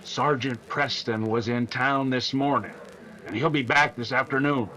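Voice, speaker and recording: A man's voice: mid-range voice, unhurried, sharp tone, rough recording. Man playing a shifty, stammering outlaw henchman. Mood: conspiring. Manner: hesitant, stammering, repeating words. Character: shifty male outlaw henchman, nervous and evasive. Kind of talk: old-time radio drama acting.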